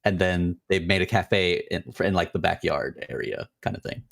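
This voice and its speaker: A man co-hosting a podcast in casual chat, dropping quick dry quips and teasing remarks. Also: low voice, vocal fry, a bit bright, dry recording. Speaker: podcast co-host.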